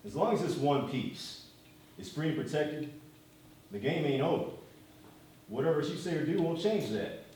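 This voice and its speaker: A man's voice: fairly high pitch, expressive, normal speed, long pauses, a little mellow, natural voice. A man acting in a stage play as a loyal, worried, hard-headed bodyguard and aide, urgent and pleading in his delivery. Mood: stern, insistent. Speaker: stage actor. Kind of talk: live one-act stage play acting. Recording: very echoey stage, distant mic, faint noise.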